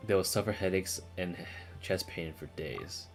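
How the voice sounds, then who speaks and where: A man's voice: deep voice, moderate pace. A man giving casual live commentary while he plays a video game. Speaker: game streamer. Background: loud video game music and sounds behind the voice.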